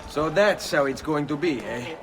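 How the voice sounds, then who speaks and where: An actor playing a grave, determined young Renaissance assassin with an Italian accent, speaking tersely in a video game. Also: male voice, on the high side, moderate pace, far from the mic, music in the background, close to an everyday voice. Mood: defiant. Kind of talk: video game cutscene acting.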